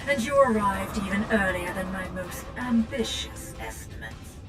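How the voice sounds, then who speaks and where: Female video game villain, cold, calculating, taunting, deliberate, loud music. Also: medium pitch, big pitch swings. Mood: smug menace.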